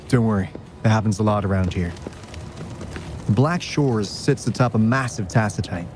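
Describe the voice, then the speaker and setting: Man acting a suave, lively sci-fi guide. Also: medium pitch, background noise, lightly acted. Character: suave male guide of a secretive sci-fi organization. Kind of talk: video game voice acting. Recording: game music faintly behind.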